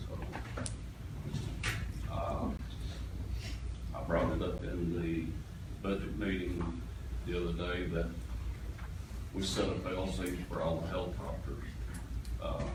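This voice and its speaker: An older man with a deep voice speaks as a fire chief at a public meeting. He is plain and matter-of-fact, picked up from far off in a heavily reverberant room. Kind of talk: informal public comment. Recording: very echoey, distant mic, noisy room.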